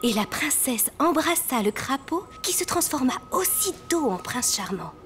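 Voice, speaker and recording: A woman playing a gentle mother reading a fairy tale in a French cartoon, soothing and storytelling. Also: high voice, sing-song, moderate pace, music in the background.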